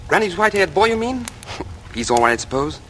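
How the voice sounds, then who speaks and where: Flustered, high-voiced English gentleman. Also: big pitch swings, a little fast, full character voice. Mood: uneasy. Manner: hesitant, blustering, stuffy. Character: fussy, flustered upper-class English gentleman. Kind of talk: 1940s radio acting. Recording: noisy vintage radio recording.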